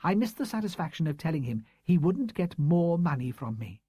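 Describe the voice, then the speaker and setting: A man's voice: a little high, very animated, brisk, mellow, slightly rough, dry recording, pitched above the performer's own voice. A man reading an audiobook, putting on a proud, bitter older female schoolteacher with a clipped, indignant manner.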